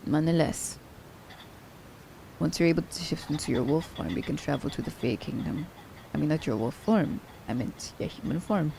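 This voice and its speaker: A woman acts out an immortal female assassin, sly and haughty, in an intimate ASMR drama. Her voice is lowish and mellow, with plenty of fry and a breathy edge. She speaks in a theatrical, old-fashioned formal way, teasing as she goes. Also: moderate pace, a little hiss or hum, rough recording. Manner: theatrical, formal storybook diction. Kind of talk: ASMR roleplay audio drama.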